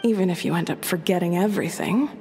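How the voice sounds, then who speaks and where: An actress playing a gentle, wistful yet resolute woman in a video game, measured and reflective, with long reverb on her voice. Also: on the low side, slight background noise, natural voice. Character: gentle, wistful woman giving parting advice, calm and resolute. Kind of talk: scripted video game dialogue. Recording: long reverb over background music.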